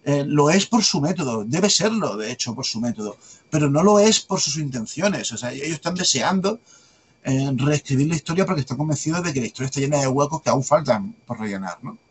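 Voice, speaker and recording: A man with a light Andalusian accent, a guest talking at length in a rapid, discursive way and tagging on questions. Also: fairly high pitch, animated, a little hiss or hum. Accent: light Andalusian Spanish. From Andalusia, Spain. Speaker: guest, publisher and author. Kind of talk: conversational interview answers.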